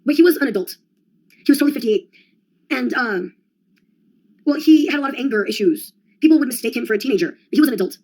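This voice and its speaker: A woman's voice: on the high side, animated, quick pace, long pauses, darkish tone, poor audio. A young adult woman in a rambling solo monologue, sardonic and profane, jumping from one topic to the next. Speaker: vlogger.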